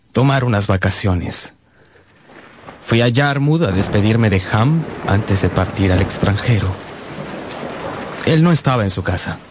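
An actor playing a sorrowful gentleman who narrates his own life in a radio drama, with emotional, dramatic pauses. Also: medium pitch, dry recording, studio quality. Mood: somber, reflective. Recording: loud music bed under voice.

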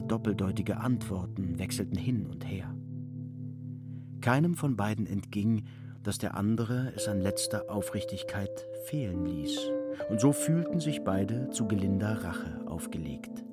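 A man narrating a literary radio play in a measured storytelling manner. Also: fairly low pitch. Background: loud music with guitar.

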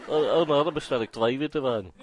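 A man's voice: medium pitch, brisk, over a noisy crowd, natural voice. A man playing a dopey, muttering studio sidekick in a comedy radio sketch. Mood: goofy. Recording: noisy tape, loud audience.

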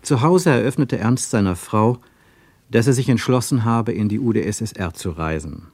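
A man narrating a literary radio play with measured, deliberate phrasing. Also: lowish voice, animated, darkish tone, husky, no room sound.

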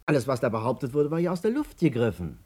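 A man's voice: mid-range voice, huge pitch swings, fast talker, a little mellow, slightly rough. An actor playing an embittered man recounting his ruin in a 1950s German radio drama, emphatic and rhetorical. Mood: bitter, reminiscing.

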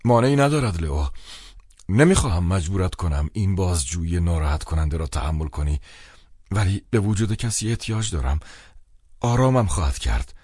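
A man's voice: deep voice, animated, darkish tone, husky, no echo, studio quality. A man voicing a hard-up, sardonic man in an audiobook, insistent and exclamatory as he presses his brother on the phone. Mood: wry, insistent. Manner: insistent, exclamatory, wryly mocking.

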